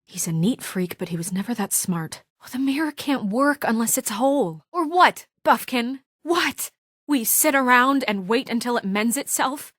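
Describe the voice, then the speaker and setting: A woman's voice: a little high, lively intonation, moderate pace, a bit bright, dry recording, studio quality. A young actress playing an earnest, determined young woman leader under strain in a naturalistic video game drama. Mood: urgent, pressing. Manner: earnest, hesitant in confidences, firm when challenged.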